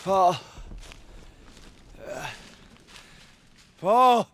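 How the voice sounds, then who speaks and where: A man's voice: high-pitched, lively intonation, drawn-out words, a bit bright, a little hiss or hum, exaggerated voice. A man playing an earnest, loyal young army private in a war game cutscene. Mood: distressed, urgent. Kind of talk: video game cutscene acting.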